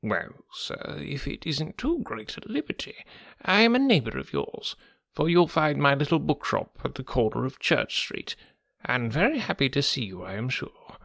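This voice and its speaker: A croaking, gravelly old bookseller from a storybook. He is fussy and humbly wheedling, with a pitch that swoops about. The sound is close and dry. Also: very animated, normal speed, fairly bright tone, no room sound, exaggerated voice. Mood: wheedling, eager. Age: older. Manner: deferential, wheedling salesman talk. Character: strange old book collector, wizened, fussy, obsequious.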